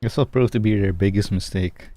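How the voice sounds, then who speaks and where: A man's voice: fairly low pitch, brisk, dark tone, no echo. A man doing casual video game playthrough commentary, chatting and reading dialogue aloud as he plays. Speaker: game streamer.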